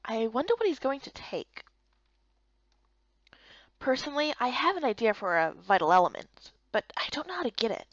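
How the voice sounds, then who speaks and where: Expressive higher-voiced woman reading a story. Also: moderate pace, pauses often, no room sound, dull audio. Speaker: voice-over reader of a visual novel.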